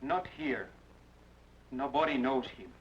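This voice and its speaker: An actor playing a surly, hostile male caretaker in an old radio drama, speaking gruff, broken English. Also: mid-range voice, takes it slowly, a little hiss or hum, close to an everyday voice. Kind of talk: 1940s radio acting. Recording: dull lo-fi old radio recording.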